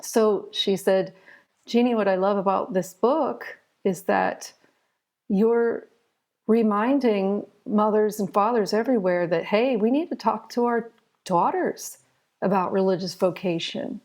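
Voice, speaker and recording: A middle-aged American woman talks in an interview, telling stories in a chatty, explanatory way. Her voice sits at a middle pitch for a woman and carries a marked creak. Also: unhurried, a little mellow. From United States. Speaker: interview guest, children's author. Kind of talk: conversational interview storytelling.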